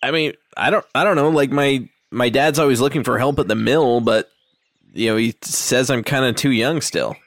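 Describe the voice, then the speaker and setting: A man's voice: mid-range voice, moderate pace, natural voice. A man playing a hesitant, easygoing sixteen-year-old village boy in a tabletop game, stammering politely through his words. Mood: sheepish, casual.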